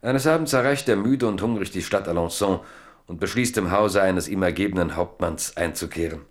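Low-pitched male radio play narrator. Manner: deliberate, rhetorical, literary.

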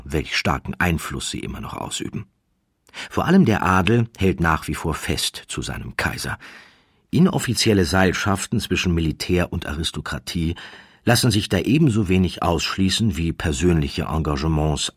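A man's voice: low voice, breathy edge, dry recording, studio quality. A man reading a scripted audiobook narration in a theatrical, ironic storytelling manner.

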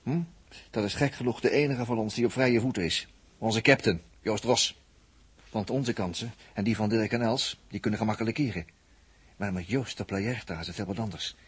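A man with a Netherlands Dutch accent acting a shrewd, confident captive spaceman in a 1960s radio drama, speaking deliberately and with emphasis. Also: lowish voice, slightly rough. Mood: grave. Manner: deliberate, emphatic, with repeated phrases. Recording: vintage 1960s radio recording.

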